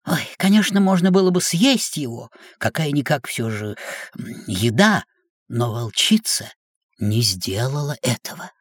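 A woman's voice: a bass voice, a little slow, slightly rough, no echo, studio quality, heavily acted. A woman playing a kindly, encouraging teacher who guides children through stories in a scripted educational audio drama. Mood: sympathetic. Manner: expressive, encouraging.

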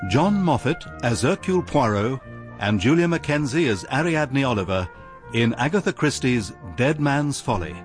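A man with a British accent reading formal scripted radio drama credits in a measured, clearly enunciated manner. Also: medium pitch, animated, over music. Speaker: radio continuity announcer.

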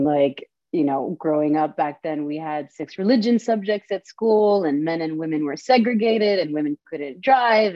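Young woman storyteller from Saudi Arabia, earnest and unhurried, lowish voice, dull dry recording. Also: no room sound. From Saudi Arabia and Palestine. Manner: earnest, slow, deliberate delivery. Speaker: storyteller and performer. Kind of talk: theatrical monologue and personal talk. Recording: dull, lo-fi audio.

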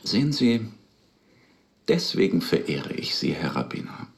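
A man's voice: low voice, very animated, measured pace, gravelly, heavily acted. An actor playing an outspoken man who talks frankly with his rabbi in a radio drama. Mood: frank, wry. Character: outspoken, admiring congregant talking to his rabbi.

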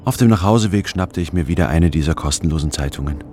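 An actor playing a brooding, introspective office anthropologist who narrates a radio drama in a measured way. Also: male voice, a bass voice, over loud music. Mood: brooding, detached. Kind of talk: scripted first-person radio drama narration.

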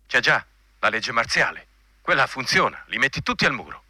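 A man's voice: medium pitch, very animated, a little fast, pushed and bright, dry recording, clean studio sound, full character voice. An actor playing a coarse, bigoted male army general in a radio drama, blunt, commanding and insinuating. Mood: brutal, scornful. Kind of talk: Italian radio drama acting.

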